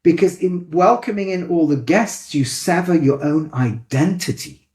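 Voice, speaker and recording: A man with a light Manchester accent talks through ideas in an animated, conversational way. His voice sits a little high. The room around him is noticeably echoey. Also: moderate pace. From England. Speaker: rabbi and educator. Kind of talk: thoughtful conversational explanation.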